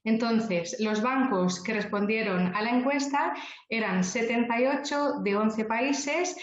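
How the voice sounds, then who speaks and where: A woman's voice: on the high side, measured pace. Woman from Spain, webinar presenter, orderly explanation, roomy, muffled sound. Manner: orderly, explanatory, some fillers. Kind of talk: presenting a report. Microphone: video call. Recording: echoey room, dull video-call audio.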